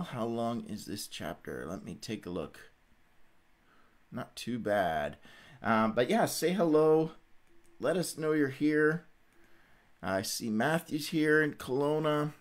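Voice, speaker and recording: A middle-aged man hosting a devotional livestream in an informal, conversational, stop-and-start way. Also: medium pitch. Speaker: host of a Bible read-along livestream. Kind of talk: casual devotional livestream talk.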